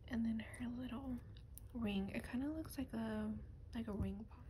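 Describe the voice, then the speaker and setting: Female ASMR creator, slightly high soft voice, breathy near-whisper, casual and gentle delivery, noticeably noisy lo-fi recording. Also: moderate pace. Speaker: doll ASMR video creator. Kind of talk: soft-spoken ASMR show-and-tell. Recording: clear background noise, lo-fi ambience.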